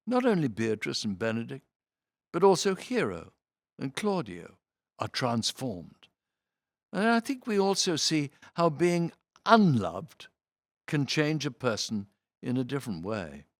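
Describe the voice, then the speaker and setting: A man reading programme notes aloud in an expressive, theatrical way, with deliberate phrasing and dramatic emphasis. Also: medium pitch, dry recording, studio quality.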